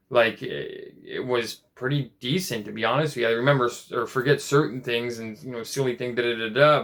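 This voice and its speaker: A man in his late twenties talking in a casual personal vlog, rambling and halting, with many restarts and fillers. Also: medium pitch, gravelly, fairly bright tone. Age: 20s. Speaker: vlogger.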